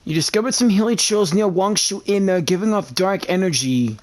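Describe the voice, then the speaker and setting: A man giving casual, halting gameplay commentary as a gaming YouTuber. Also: high voice, darkish tone. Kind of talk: casual gameplay commentary, reading along with dialogue. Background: game music.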